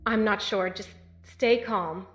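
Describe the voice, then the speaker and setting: A woman acting in an audio drama as a calm, protective town sheriff carrying grief, speaking in a measured, earnest way. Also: medium pitch, close to an everyday voice. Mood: steadying, urgent. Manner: measured, earnest, halting when emotional. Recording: echoey tunnel-like reverb with music.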